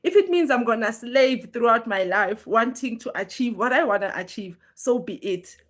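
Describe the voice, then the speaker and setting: Hoarse, earnest woman hosting a live chat. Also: on the high side, animated, moderate pace. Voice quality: normally very loud voice, pushing it now. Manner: chatty, earnest, greets viewers by name. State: hoarse, strained from illness. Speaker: live stream host. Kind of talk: casual motivational live chat.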